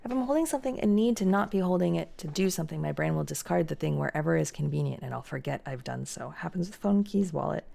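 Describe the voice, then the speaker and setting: A woman's voice: sits low, expressive, fast, vocal fry, few pauses, dark tone. A young woman streaming live, chatting casually and reading out and answering viewer messages. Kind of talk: casual chat reading chat aloud.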